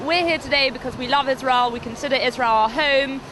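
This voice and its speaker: Woman at a street parade, slightly high voice, sincere answers, outdoor noise. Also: brisk, crowd noise. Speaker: interviewee at a parade. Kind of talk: street interview.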